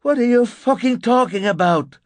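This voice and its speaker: A man's voice: medium pitch, expressive, moderate pace, a little mellow, slightly rough, no room sound. A man voicing a volatile, blunt, aggressive and desperate man in an audiobook. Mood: agitated, demanding. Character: volatile, foul-mouthed man, angry and desperate.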